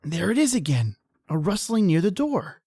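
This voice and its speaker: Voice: mid-range voice, sing-song, normal speed, mellow, husky, no echo, clean studio sound. An actor playing John, a nervous, deferential male companion, anxious and complaining, in the style of old-time radio horror. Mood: fearful.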